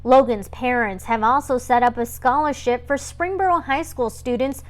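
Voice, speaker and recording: A woman's voice: sits high, moderate pace. A woman with an American accent narrating a scripted TV news report.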